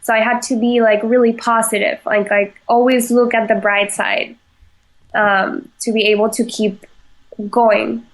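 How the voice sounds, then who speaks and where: A young woman talks slowly and unhurriedly, pausing on fillers and restarting her sentences. Her voice sits a little high, and she keeps a relaxed, easygoing tone as a guest on a chat podcast. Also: rough recording. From Venezuela. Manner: halting, many fillers and restarts, laughs easily. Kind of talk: casual interview answers.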